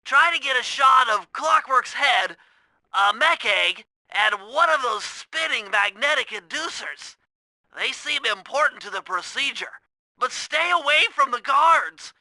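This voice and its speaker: A man's voice: very high-pitched, expressive, a little slow, bright, forceful tone, no echo, full character voice, rough recording. A male actor playing a nerdy, anxious young turtle tech genius in a video game, with a nasal voice and fussy, precise delivery. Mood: earnest, instructive.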